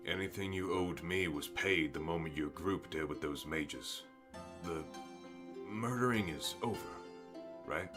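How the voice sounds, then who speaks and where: A man's voice: deep voice, unhurried, music in the background. A man voicing a blunt, folksy, kindly shopkeeper and smith in a fantasy audio drama. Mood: gruff, kindly.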